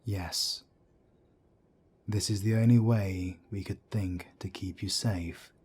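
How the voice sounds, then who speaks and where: A man voicing a grave male werewolf council elder who speaks in a solemn, measured way. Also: sits low, steady tone, a little mellow, slightly rough, full character voice. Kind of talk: amateur audio drama acting.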